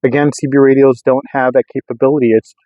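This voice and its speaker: A middle-aged man gives a casual, rambling product test to camera. His voice sits at an average pitch and he keeps restating his points insistently. The sound is dry and a little dull. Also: level tone, quick pace, no echo. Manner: repetitive, insistent, circling back to restate points. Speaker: radio enthusiast vlogger. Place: in a vehicle on a road test.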